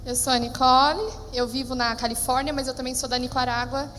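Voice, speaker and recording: A woman interpreting live on stage, lively and quick. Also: high-pitched, slight background noise. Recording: reverberant hall, faint ambience.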